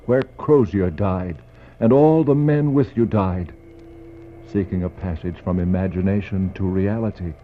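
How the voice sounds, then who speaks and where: An actor playing a brooding polar explorer in a 1960s poetic radio drama, speaking in a solemn, declamatory way with dramatic pauses. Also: male voice, on the low side, lightly acted. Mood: sombre, reflective. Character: brooding male polar explorer searching for a lost expedition. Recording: dull, noisy 1960s radio recording.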